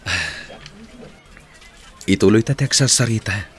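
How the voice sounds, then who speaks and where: A man's voice: on the low side, unhurried, close to an everyday voice. A man playing a polite young suitor in a Filipino radio drama, tentative and courteous.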